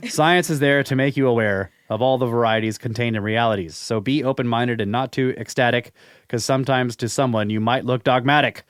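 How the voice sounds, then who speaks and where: A man's voice: mid-range voice, brisk, clear, resonant tone, dry recording. Laid-back male podcast host, dry studio. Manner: laid-back, skeptical, chuckles often. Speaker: podcast co-host reading listener email. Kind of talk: casual podcast chat and email reading.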